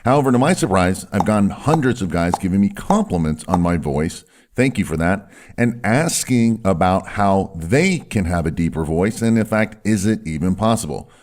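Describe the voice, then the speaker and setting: A man with a low voice that swings widely in pitch gives an instructional talk as a doctor hosting a health video. He leans on key words, slowing down and sinking his voice for effect. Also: animated, a little mellow. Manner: emphatic, slows down and drops low on key words.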